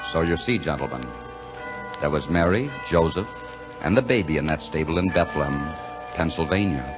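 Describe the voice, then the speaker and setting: A man playing a brash, warm-hearted truck driver in a colloquial, folksy 1940s radio drama style. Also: very deep voice, normal speed. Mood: reflective, storytelling. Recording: dull vintage 1940s radio broadcast, music.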